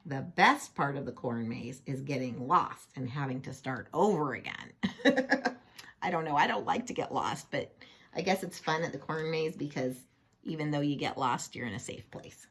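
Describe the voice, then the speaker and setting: Woman, children's storyteller, slightly low pitch, husky, very lively intonation, playful, chatty asides. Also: moderate pace. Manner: playful, expressive, asks the listener questions. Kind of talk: children's picture-book read-aloud with chatty asides.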